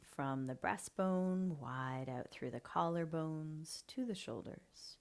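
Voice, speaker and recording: Slow, soft-spoken woman guiding a meditation. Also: low-pitched, creaky. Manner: slow, soft and soothing, often half-whispered. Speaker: meditation guide. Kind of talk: guided breathing meditation.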